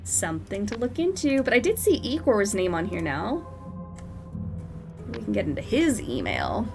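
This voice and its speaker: A woman's voice: mid-range voice, wildly swooping pitch, normal speed, creaky, over music. A woman streaming a horror game, chatting casually with her viewers and laughing often, her nose stuffed up from a cold. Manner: chatty, giggly, reads in-game notes aloud. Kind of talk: casual live-stream commentary.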